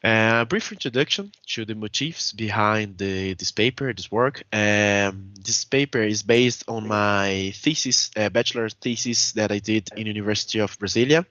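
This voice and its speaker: A man's voice: fairly low pitch, moderate pace, hardly any pauses, pushed and bright, dry recording. Young Brazilian man, Portuguese accent, presenting research over a dry, muffled video call. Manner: careful, hesitant, stops to rephrase. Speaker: conference presenter. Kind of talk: academic paper presentation.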